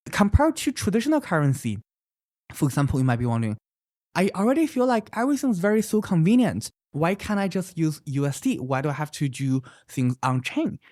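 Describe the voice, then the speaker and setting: Animated founder. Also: medium pitch, dry recording, studio quality. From China. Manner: animated, emphatic, often adds "right?"; explains with many examples. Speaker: startup founder. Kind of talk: interview answers.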